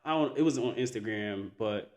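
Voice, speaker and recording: A man's voice: lowish voice, moderate pace. A man hosting a casual podcast interview, often agreeing with short replies. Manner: agreeable, lots of short affirmations. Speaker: podcast host.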